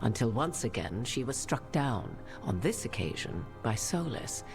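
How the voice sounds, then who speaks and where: A woman playing an imperious, enigmatic sorceress in a fantasy game, with archaic, measured and knowing speech. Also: rumbling low, sing-song, music in the background, exaggerated voice. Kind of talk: dramatic fantasy game dialogue.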